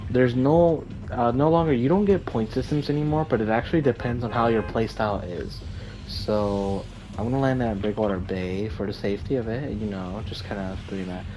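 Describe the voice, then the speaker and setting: A young man with a tired, somewhat low voice talks casually over his gameplay. He rambles, restarting sentences and filling gaps with "like" and "uh". A faint hiss sits behind the voice. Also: moderate pace. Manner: rambling with many fillers and restarts. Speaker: gaming YouTuber.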